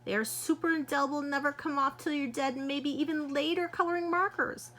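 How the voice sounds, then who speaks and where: A woman reading aloud, voicing a small girl who pleads and insists, bright and a little higher than her own voice. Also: a little fast. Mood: insistent. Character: young girl, pleading and cheeky.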